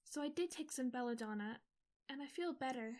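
An actress playing a gentle, timid teenage girl in an audio drama, speaking softly. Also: high-pitched, animated, brisk. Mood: shaken. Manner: soft, timid. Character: Beth, gentle shy teenage girl, frightened. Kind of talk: amateur audio drama acting.

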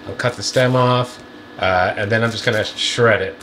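A man's voice: moderate pace, slight background noise. A middle-aged man co-hosting a cooking show, casually walking through his meal prep in a home kitchen with many fillers. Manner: easygoing, lots of fillers, pitch jumps up when excited. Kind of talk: casual cooking demo chat.

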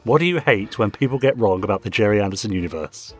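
A man co-hosting a podcast in casual chat and reading aloud, with a jovial, animated manner. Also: fairly low pitch, fast, soft background music. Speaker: podcast co-host.

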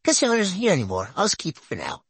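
Man putting on a polite, husky, wildly swooping young stranger. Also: high-pitched, wildly swooping pitch, rapid-fire, dry recording, voice pushed up, exaggerated voice. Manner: polite, courteous. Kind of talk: visual novel character read-aloud.

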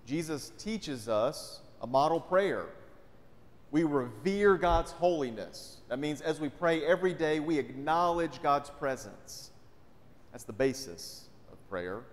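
A man's voice: fairly high pitch, lively intonation, slow. A man, a pastor preaching a sermon in a conversational way and often asking the congregation questions. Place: large church sanctuary, indoors. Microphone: pulpit mic. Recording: long church hall reverb.

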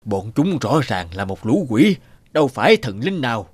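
A man's voice: medium pitch, big pitch swings, moderate pace, no echo. A man voicing a kindly, determined wandering priest in a fairy-tale cartoon, speaking earnestly. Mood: wary. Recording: music behind the voice.

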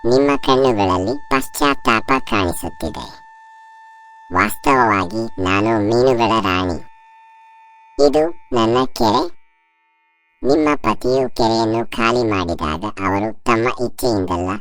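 A woman's voice: extremely low pitch, expressive, slow, smooth, no echo, recorded from a distance, pitched below the performer's own voice, full character voice. A woman voicing a solemn, regal fish queen spirit in a cartoon story.

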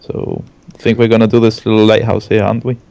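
A man's voice: on the low side, little pitch movement, fast, vocal fry, warm tone, no echo. A man from Gibraltar with a Gibraltarian accent, planning a build matter-of-factly while playing. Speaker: gaming video co-host. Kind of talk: casual gaming chat.

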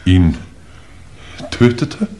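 Deep-voiced, halting English lord. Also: male voice, sing-song, mellow, exaggerated voice. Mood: flustered. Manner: hesitant, halting, formal. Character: shaken English lord of a country manor, courteous, disbelieving. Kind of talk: German crime radio play acting. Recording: noisy old radio play recording.

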